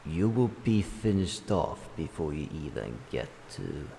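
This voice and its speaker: A wise old dragon acted out by a man speaks from within a long, echoing reverb with faint noise. The voice is low and gravelly, with grave, formal phrasing and deliberate pauses, like a protective guardian giving counsel in a fantasy game. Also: big pitch swings, mellow, full character voice. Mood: advising, cautioning. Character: ancient male dragon, solemn, wise, protective and cautioning. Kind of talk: fantasy game roleplay monologue.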